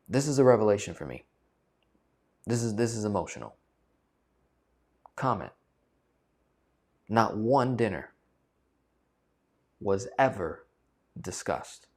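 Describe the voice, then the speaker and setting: Man, low-ish voice, emphatic, repetitive, coaching talk to camera. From United States. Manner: emphatic, repeats phrases for stress. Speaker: finance coach and channel host.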